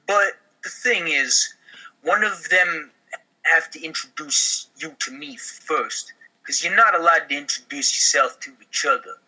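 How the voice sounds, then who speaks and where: Man putting on a sharp, sleazy mafia recruiter. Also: a little high, a little slow, heavily acted, low-quality recording. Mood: explanatory, conniving. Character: sleazy fast-talking male mafia recruiter, slick and pushy. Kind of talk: amateur comedy table read.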